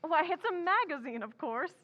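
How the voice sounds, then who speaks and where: A woman's voice: high voice, sing-song, moderate pace, lo-fi sound. A woman playing an eager, talkative young woman writer in old-time radio drama style, with earnest enthusiasm. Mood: eager, proud.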